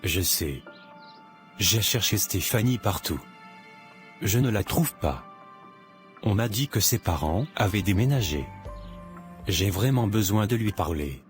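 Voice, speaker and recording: Man as a sincere young cartoon hero, very deep voice, clear music behind. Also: expressive, measured pace, long pauses. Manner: earnest, sincere. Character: earnest young man, a lawyer. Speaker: narrator and protagonist.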